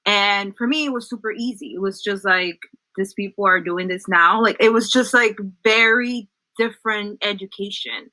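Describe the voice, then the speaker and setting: A woman's voice: mid-range voice, moderate pace, hardly any pauses, sharp tone, no echo, poor audio. A young woman from El Salvador, a native Spanish speaker, chatting as an interview guest and often checking in with "right?". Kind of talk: casual storytelling.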